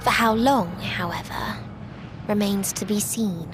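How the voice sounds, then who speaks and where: A woman playing a cold, despairing ruler in a video game cutscene, speaking formally and deliberately. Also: medium pitch, music in the background, natural voice. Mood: solemn.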